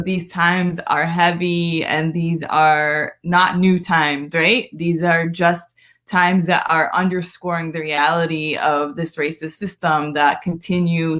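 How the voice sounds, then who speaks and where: American female activist guest, lowish voice, conversational, earnest, emphatic delivery. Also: fairly bright tone. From United States, born in Brooklyn, living in Florida. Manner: earnest, emphatic, often seeking agreement with "right?". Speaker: guest, community activist and political strategist. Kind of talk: conversational interview on a live stream.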